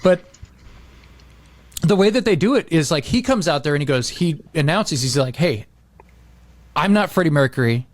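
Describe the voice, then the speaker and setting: A man's voice: a little high, lively intonation, normal speed, pauses often. A man talking casually and with opinions, in the manner of a podcast host.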